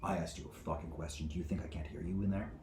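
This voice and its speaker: A man's voice: low voice, expressive, moderate pace. A man playing a menacing, foul-mouthed zealot who sits as divine judge, in a theatrical monologue with a profane, taunting, domineering manner. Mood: enraged demanding. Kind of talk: theatrical monologue, swinging from whispers to screams. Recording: echoey room with clear background noise.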